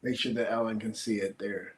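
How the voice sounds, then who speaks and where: A man tossing quick joking asides into a casual livestream chat. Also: lowish voice, little pitch movement. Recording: roomy, distant, noisy.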